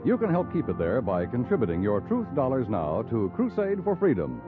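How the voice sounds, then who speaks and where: A man's voice: medium pitch, wildly swooping pitch, brisk. A man with an American accent announcing in formal 1950s network radio style. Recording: muffled 1950s radio recording, loud music.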